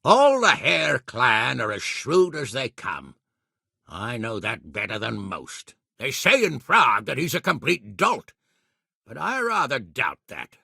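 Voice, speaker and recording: Gravelly, blustering medieval lord. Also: male voice, medium pitch, huge pitch swings, a little slow, pushed and bright, dry recording, studio quality, heavily acted. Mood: shrewd, wry. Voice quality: gravelly, husky. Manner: commanding, colourfully cursing, hearty. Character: blustering, shrewd medieval lord, gruff and quick-tempered. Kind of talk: video game character acting.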